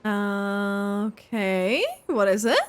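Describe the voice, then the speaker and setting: A woman's voice: medium pitch, drawn-out words, slight background noise. A woman giving casual, giggly, slangy live reaction commentary as a gaming YouTuber.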